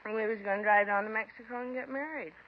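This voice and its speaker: An actress playing a bitter, defiant mother in a 1950s radio drama, shrill and accusing, then whining in self-pity, heard on a dull old radio broadcast. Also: fairly even intonation, fast talker, slight background noise, natural voice.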